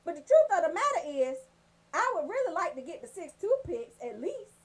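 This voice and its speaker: A woman's voice: very high voice, sing-song, normal speed, crowd noise. A woman doing a casual, comedic rant as a radio host, in a brash, profane, storytelling way.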